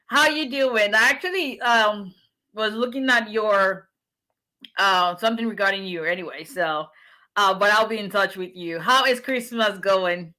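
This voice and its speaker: Bright-voiced woman chatting live. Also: medium pitch, unhurried. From Nigeria. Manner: chatty, greets viewers by name, encouraging and preacher-like. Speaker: immigration attorney. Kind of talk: casual livestream chat.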